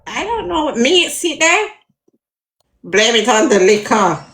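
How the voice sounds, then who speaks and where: A woman's voice: on the high side, sing-song, slow, fairly bright tone. A woman from Jamaica with a Jamaican accent, chatting casually and reading out viewer comments. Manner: laid-back, reads out viewer comments. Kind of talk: casual patois chat.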